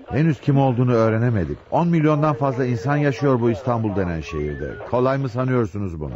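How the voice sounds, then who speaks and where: An actor playing an authoritative police chief inspector with a measured, commanding manner in a radio drama. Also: male voice, mid-range voice, lively intonation, lightly acted. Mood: patient. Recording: muffled radio drama, crowd behind.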